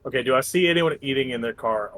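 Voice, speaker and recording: A man's voice: medium pitch, quick pace, a bit bright, dry recording. A man chatting casually as a player in a tabletop roleplaying game, asking questions and stating his actions.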